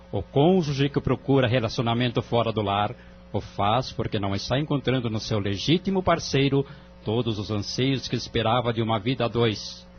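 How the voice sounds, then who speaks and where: A man playing a solemn, probing judge in an audio drama, speaking formally and with measured phrasing. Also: medium pitch, slight background noise, natural voice. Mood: reflective counsel. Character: solemn, probing, fair-minded male family judge. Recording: dull lo-fi audio with music behind.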